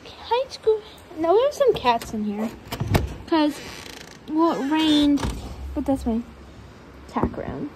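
Young woman vlogger, very high lively voice, unhurried, giggly, coos at cats; handheld phone in a barn, faint noise. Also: low-quality recording. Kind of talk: casual barn tour vlog. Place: indoor barn room with cats. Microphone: phone held in hand.

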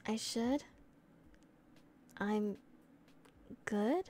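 A young woman playing a friendly, bashful, teasing older sister in a gentle, chatty ASMR roleplay. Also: medium pitch, drawn-out words, a little hiss or hum. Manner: gentle, giggly, bilingual English and Japanese. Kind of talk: soft ASMR roleplay, casual chat.

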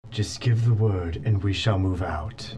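A man voicing a loyal but scheming male robot minister in a fan dub, with a husky, dark voice and formal, deferential speech. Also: low voice, measured pace, a little hiss or hum.

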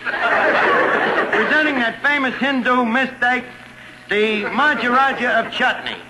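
An actor playing a brash, wisecracking American tavern keeper and floor-show host in 1940s radio comedy, slangy and folksy. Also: male voice, very high-pitched, takes it slowly, over a noisy crowd. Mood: showman announcing. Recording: noisy vintage radio recording, live audience.

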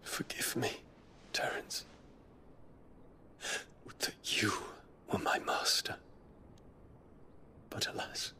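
An actor playing a noble, burdened young warrior prince in a game cutscene, speaking in formal, earnest diction. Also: male voice, slow, long pauses, bright, forceful tone, in a whisper. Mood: tender, sorrowful. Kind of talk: dramatic game cutscene acting.

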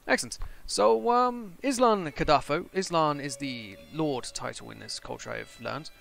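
A young man chatting and joking as he plays through a strategy game for his channel, thinking out loud. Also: a little high, moderate pace, gravelly. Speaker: gaming channel host. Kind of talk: casual strategy game let's play commentary.

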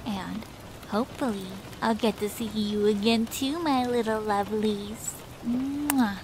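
A woman's voice: fairly high pitch, unhurried, background noise, natural voice. A woman voicing a caring, affectionate female cartoon beaver girlfriend in a cutesy, soothing, doting way. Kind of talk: cartoon fan fiction audio reading.

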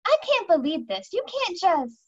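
A woman's voice: very high-pitched, sing-song, normal speed, dull audio. A woman acting an offended, defensive young guest with a curt manner in a mystery radio play.

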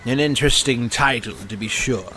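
A man's voice: mid-range voice, moderate pace, sharp tone, slight background noise, lightly acted, low-quality recording. A man playing a pompous, self-centred water rat in an audio drama, with a haughty, dismissive manner. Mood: curious.

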